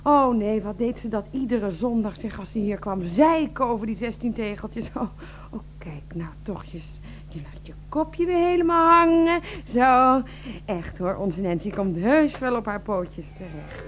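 Cooing, doting housewife, swooping high voice. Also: female voice, lively intonation, unhurried, a little hiss or hum. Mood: chatty, grumbling. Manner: cooing baby talk, coaxing, chatty. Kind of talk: radio play acting.

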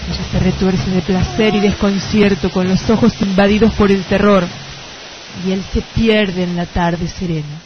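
A woman's voice: mid-range voice, fairly even intonation, moderate pace, lightly acted. A woman playing an overwrought, theatrical narrator of a lurid gothic jungle tale in a radio play. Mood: ominous. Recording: lo-fi radio sound with music behind.

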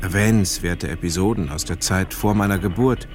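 An actor playing a reflective grown son who narrates memories of his father in a radio drama, measured and deliberate. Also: deep voice, over music, close to an everyday voice.

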